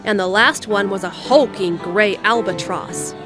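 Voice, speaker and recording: A woman's voice: mid-range voice, unhurried. A woman reading scripted storybook narration as the narrator of an audio drama. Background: music.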